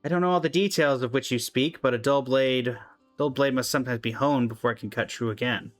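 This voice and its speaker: A man reading aloud the lines of an ancient, gentle, regretful woman guardian in a video game, in a calm, measured way. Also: mid-range voice, faint music behind, close to an everyday voice. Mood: solemn.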